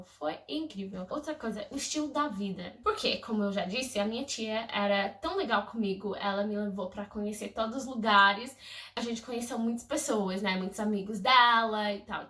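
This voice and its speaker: A woman's voice: fairly high pitch, bright, forceful tone, roomy sound, distant mic. A young woman from England, native English speaker, chatting casually to camera in an effusive, gushing, storytelling way. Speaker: vlogger.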